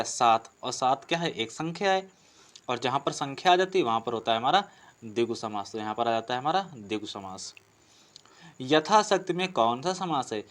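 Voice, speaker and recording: A man from teaching like a coaching instructor, posing questions and explaining answers with frequent repetition. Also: on the high side. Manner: explanatory, repeats and rephrases answers, asks himself questions. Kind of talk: Hindi grammar lesson, working through practice questions.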